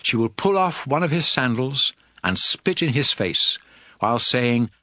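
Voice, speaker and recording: A man narrating scripture in a measured, authoritative manner for a dramatized audio production. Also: medium pitch, sing-song, sharp tone, no room sound. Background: music.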